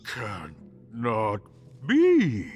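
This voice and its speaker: An actor playing a menacing, dim-witted jungle warrior in a video game, speaking broken English in booming pronouncements. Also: male voice, wildly swooping pitch, very slow, exaggerated voice. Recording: very echoey, faint background noise.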